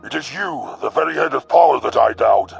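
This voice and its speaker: A man voicing a cruel, arrogant young alien predator commander in an audio story, with a growling, gravelly voice and haughty, formal menace. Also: medium pitch, expressive, a little fast, highs cut off, music in the background, voice pushed up. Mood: scornful challenge.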